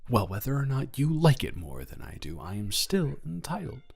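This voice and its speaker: Man playing a halting, awkward teenage boy. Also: medium pitch, big pitch swings, vocal fry, a little mellow, husky, full character voice. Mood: playful, indignant. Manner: halting, rambling, stammering, self-deprecating. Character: awkward, insecure teenage boy, affectionate outcast high school classmate. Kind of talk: romantic audio roleplay, conversational acting.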